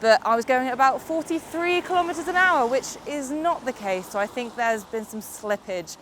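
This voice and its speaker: A woman's voice: high voice, expressive, normal speed, fairly bright tone, slight background noise. A young woman with a congested summer cold, reviewing an e-bike in a chatty, upbeat way while riding outdoors. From United Kingdom. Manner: chatty, upbeat, explains as she rides. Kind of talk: casual video review while cycling. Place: outdoors on a bike on roads and hills.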